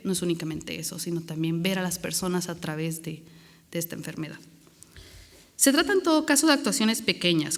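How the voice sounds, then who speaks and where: A woman's voice: on the low side, long reverb tail. A Guatemalan woman with a bad cold, an NGO project director giving an earnest, grateful talk on a conference panel. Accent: Guatemalan Spanish.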